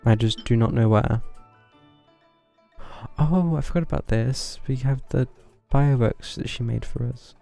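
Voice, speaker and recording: Male streamer, casual gameplay chat, low-key, dark creaky tone, thinking aloud. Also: measured pace. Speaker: game streamer. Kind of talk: casual live gameplay commentary. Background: game music.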